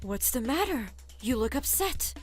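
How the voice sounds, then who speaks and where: A woman playing a gentle, sickly, worried mother of adult sons in a dramatized story. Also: on the high side, sing-song, unhurried, soft background music. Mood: concerned.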